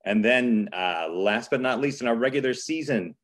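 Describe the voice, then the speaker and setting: A man's voice: medium pitch, animated, normal speed. A man presenting a theater season and introducing a reading over a video call.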